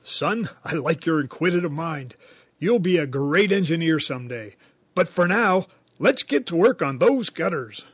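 A man's voice: fairly high pitch, animated, normal speed, slightly rough, dry recording. A man playing an earnest, bumbling handyman dad in a comedy radio sketch, sheepish and hesitant when explaining himself. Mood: upbeat, earnest.

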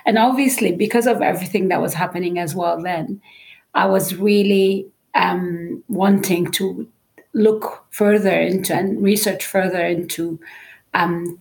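A woman's voice: lowish voice, creaky, darkish tone, poor audio. Hesitant woman from Lebanon, telling her story. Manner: hesitant, many "um" pauses. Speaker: psychologist guest. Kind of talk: conversational storytelling.

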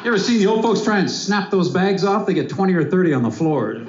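A middle-aged man doing stand-up comedy, telling animated self-mocking stories to a live audience. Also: high-pitched, fast. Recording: roomy live club recording, audience laughter, dull sound. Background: audience laughter.

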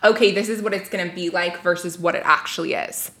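A woman's voice: lowish voice, fairly even intonation, fast, fairly bright tone. A woman hosting a podcast, upbeat and bubbly, peppering her talk with "like". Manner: bubbly, upbeat, says "like" a lot. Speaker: podcast host. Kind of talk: casual podcast chat.

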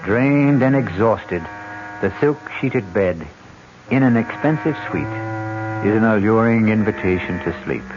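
Deep, animated, ominous male radio host. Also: measured pace, noisy background. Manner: ominous, theatrical, wry. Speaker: mystery series host and narrator. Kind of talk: dramatic scripted narration, 1970s radio. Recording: dull old radio broadcast recording. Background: music.

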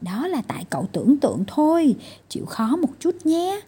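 A woman's voice: high voice, very animated, normal speed, warm tone, voice pushed up. A woman voicing a tiny male doctor in a children's tale, patient and coaxing but mildly exasperated. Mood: coaxing, reassuring. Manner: coaxing and scolding.

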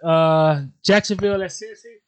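Higher-voiced American man trading football banter. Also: normal speed. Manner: informal, teasing, slangy. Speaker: sports podcast co-host.

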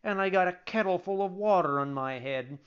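Storybook puppet boy played by an adult reader: raised pitch, petulant and whiny, childish breathless pleading, slightly dull recording. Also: a little fast, smooth, lightly acted. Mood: whining, self-pitying.

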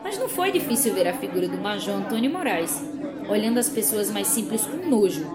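A woman's voice: mid-range voice, big pitch swings, fairly quick, natural voice. A woman acting as the narrator of a radio soap opera, telling the story in a theatrical, suspenseful way. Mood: expectant. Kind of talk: scripted radio drama narration. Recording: loud music and crowd noise behind.

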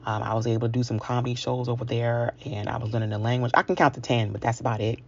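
Woman around 40 from new york, husky n creaky, telling her own story real candid, kinda muffled recording. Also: very deep voice, fairly even intonation, fast talker. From New York, United States. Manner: candid, conversational, confiding. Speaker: featured storyteller. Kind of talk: personal storytelling to an audience.

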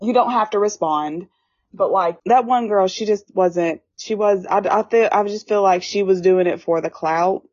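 A woman's voice: medium pitch, moderate pace, a little mellow, dry recording. A woman in her twenties co-hosting a casual podcast, speaking bluntly and swearing freely. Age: 20s. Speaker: podcast co-host. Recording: dull lo-fi sound.

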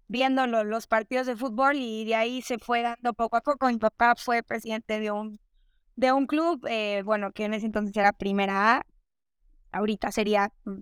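A woman's voice: a little high, clean tone, no echo, clean studio sound. A young adult woman co-hosting a podcast, telling stories in a cheerful, chatty way. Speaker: podcast co-host. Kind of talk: casual chat.

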